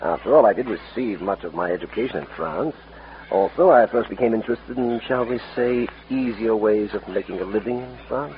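An actor playing a smug, cold-blooded outlaw who poses as a cultured French gentleman, suave and pompous, in an old radio western. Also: on the low side, fairly quick, lightly acted. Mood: smug, gloating. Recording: muffled, hissy old radio recording.

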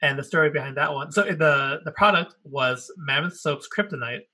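A man's voice: fairly high pitch, normal speed, bright, forceful tone. A man co-hosting a casual talk show, rambling and correcting himself as he tells a story. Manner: rambling, self-correcting, laughs often. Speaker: podcast co-host. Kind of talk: casual chat.

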